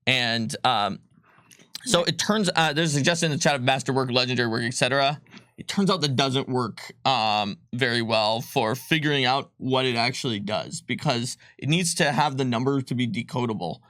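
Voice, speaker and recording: A man's voice: medium pitch, moderate pace, fairly bright tone, dry recording, clean studio sound. A man co-hosting a casual livestream, explaining game rules with many fillers and restarts. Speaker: game designer co-host. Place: studio.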